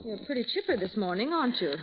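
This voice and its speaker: An actress playing a sharp-tongued rival woman who speaks bluntly and sarcastically, in a 1940s radio drama. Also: fairly high pitch, big pitch swings, fast. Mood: tart. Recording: dull old radio recording with faint hiss.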